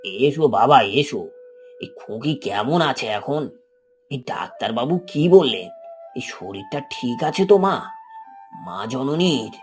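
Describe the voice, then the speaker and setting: A man plays a humble, forgiving old hawker in a moral cartoon. His fatherly, preaching speech swings widely in pitch, with faint music beneath it. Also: animated, slow, pauses often. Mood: tender concern. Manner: fatherly, gently preaching, affectionate address. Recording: background music under the voice.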